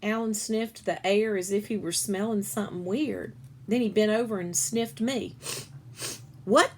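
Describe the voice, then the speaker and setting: A woman storyteller reads a children's book aloud in a mid-range voice. Her delivery is expressive, and she stretches key words for the listener. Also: mid-range voice, moderate pace.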